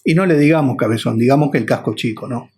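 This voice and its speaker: A man with an Argentine Rioplatense accent and a slightly husky voice at a medium pitch. He explains things casually in vlog style, wandering and repeating himself as he goes. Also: fast, a little mellow. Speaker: motorcycle vlogger.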